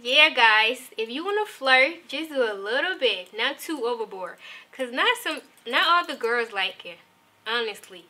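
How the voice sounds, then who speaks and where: A woman guest on a talk show, speaking candidly and relaxed about dating. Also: on the high side, unhurried. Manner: candid, relaxed, gives short agreeing replies. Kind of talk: casual talk show interview.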